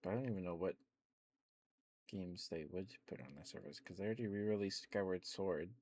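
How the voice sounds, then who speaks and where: A young man chatting in a soft, rambling ASMR style, wandering between thoughts with lots of fillers and quiet laughs, close to the microphone. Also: sits low, a little fast. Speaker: ASMR streamer.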